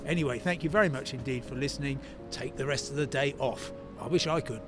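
A man's voice: mid-range voice, quick pace, over loud music. A British man hosting a travel podcast, giving unscripted, chatty news commentary on location outdoors at an airport. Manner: chatty asides, plane-spotting digressions. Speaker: travel podcast host and correspondent.

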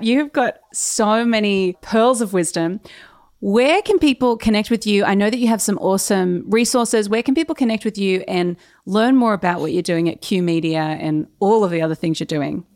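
A woman's voice: mid-range voice, moderate pace, darkish tone. A woman hosting a podcast, upbeat and encouraging as she thanks her guest and speaks to her listeners. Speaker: podcast host. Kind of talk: podcast interview and scripted outro.

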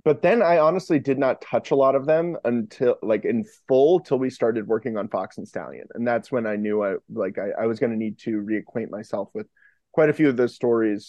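A man's voice: medium pitch, dark tone, dry recording. A man chatting casually as a podcast guest, with a jokey, self-mocking manner. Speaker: podcast guest, fiction podcast co-creator. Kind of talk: casual interview chat.

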